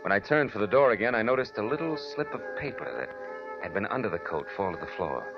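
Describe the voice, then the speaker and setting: An actor playing a wry, weary private detective in a 1940s radio noir drama, with dry, deliberate, sardonic delivery. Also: male voice, fairly low pitch, big pitch swings, dull audio. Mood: reflective, tense. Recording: band-limited 1940s radio recording with music.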